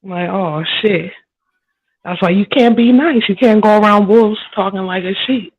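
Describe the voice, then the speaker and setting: A woman's voice: medium pitch, normal speed, slightly rough, no room sound, low-quality recording. A woman guest in a casual chat, talking in a tough, streetwise, emphatic way.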